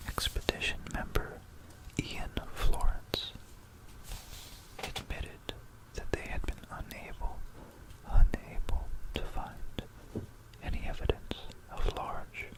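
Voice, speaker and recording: Casting: ASMR whisperer, no voicing at all, informal and musing, recorded close on binaural mic. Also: low-quality recording. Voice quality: soft unvoiced whisper. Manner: rambling, musing asides. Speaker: ASMR video creator. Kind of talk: whispered ASMR, informal talk mixed with reading aloud. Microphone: close stereo binaural microphone.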